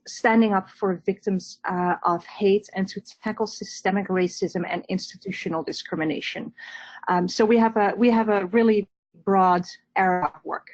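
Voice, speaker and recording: Dutch-accented woman, earnest and measured. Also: mid-range voice, dry recording, dull audio. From Netherlands. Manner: earnest, measured, with small restarts. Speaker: nonprofit representative. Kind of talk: webinar panel answers.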